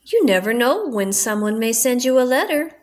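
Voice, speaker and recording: A woman acts out a kindly, eager storybook frog, a loyal best friend to all appearances. The voice sits high and leaps about in pitch, with an earnest, coaxing way of talking. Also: sing-song, normal speed. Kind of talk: children's storybook read-aloud.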